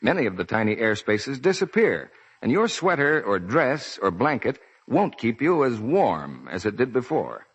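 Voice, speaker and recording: A man's voice: mid-range voice, sing-song, moderate pace, slightly rough. An American man announcing on 1940s radio, giving polished, explanatory sponsor messages. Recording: dull 1940s radio broadcast.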